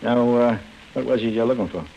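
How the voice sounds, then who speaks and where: An actor with an American accent playing a chummy, wisecracking newspaper archive clerk in an old-time radio drama. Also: male voice, medium pitch, fairly quick, vocal fry, mellow. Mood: jovial, joking. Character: jokey, folksy male newspaper archive clerk. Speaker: supporting actor in a radio serial. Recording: muffled old radio broadcast.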